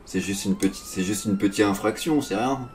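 A man's voice: on the low side, moderate pace, darkish tone. A young man in casual, improvised game role-play, talking in a cheeky, slangy way on a gaming microphone. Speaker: gamer role-playing as an arrested suspect. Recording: noisy gaming voice chat.

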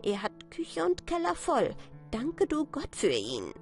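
A woman voicing a bossy, scolding female field mouse in a storybook, brisk and insistent. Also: sits high, huge pitch swings, faint music behind, voice pushed up, exaggerated voice.

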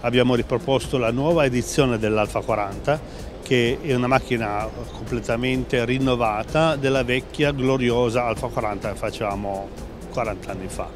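A man's voice: medium pitch, moderate pace. A middle-aged man being interviewed at an exhibition stand, talking with many fillers and restarts. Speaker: company president interviewed. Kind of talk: trade fair interview. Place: busy exhibition hall stand. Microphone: handheld interviewer mic. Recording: background music under voice.

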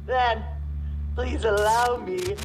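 A woman's voice: on the high side, drawn-out words, background noise. A woman playing a mocking, unhinged hacker in a video game, sneering and giggling through theatrical stammers. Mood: manic, menacing. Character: mocking, unhinged female hacker broadcasting threats. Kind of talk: video game cutscene acting.

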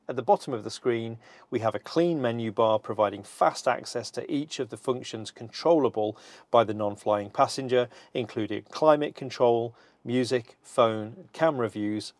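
A middle-aged man giving a scripted, measured walkthrough of a cockpit system, speaking precisely while seated in an aircraft cockpit. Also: medium pitch, darkish tone, no room sound. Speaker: company presenter.

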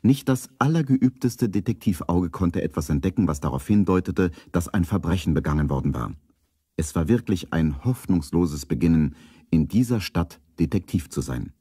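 A man narrating a children's radio play as a calm storyteller. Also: deep voice, moderate pace, slightly rough, no echo, clean studio sound. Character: calm adult male storyteller.

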